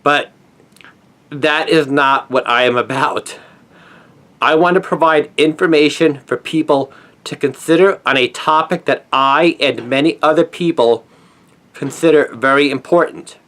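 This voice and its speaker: Higher-pitched man, earnest and emphatic. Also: unhurried, fairly bright tone. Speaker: conspiracy commentator, former newspaper journalist. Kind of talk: scripted opinion monologue.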